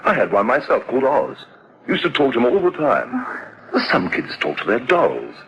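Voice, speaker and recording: An actor playing a relaxed, easygoing husband who chuckles and talks his wife out of her worries. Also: mid-range voice, normal speed, muffled recording, slight background noise. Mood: reassuring. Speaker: husband and father.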